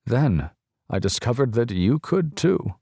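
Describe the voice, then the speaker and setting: A man voicing an elderly Polish-born grandmother, explaining slowly and softly to a child in an audiobook. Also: mid-range voice, very animated, creaky, husky, no room sound, clean studio sound, pitched above the performer's own voice, heavily acted. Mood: gentle. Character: elderly woman, ghost-seeing Polish-born grandmother, gentle.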